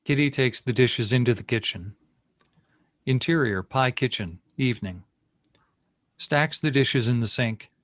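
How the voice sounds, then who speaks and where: A man's voice: medium pitch, no echo. A man reading stage directions as narrator of a screenplay table read, in clipped, matter-of-fact phrases.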